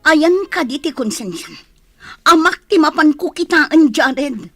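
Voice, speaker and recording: An anxious married daughter who stands her ground in an emotional radio drama. Her high voice pleads and insists, recorded dry with faint music underneath. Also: lively intonation, a little slow, no echo. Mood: pleading, indignant. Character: anxious, assertive married daughter worried about her father. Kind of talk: Ilocano radio drama acting.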